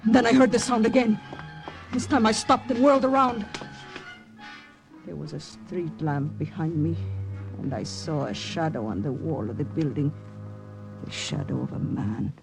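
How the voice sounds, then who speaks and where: An actress playing a tense young woman spy, dramatic and deliberate, in old-time radio drama style. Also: fairly high pitch, fairly bright tone. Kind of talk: 1940s-50s radio drama acting and first-person narration.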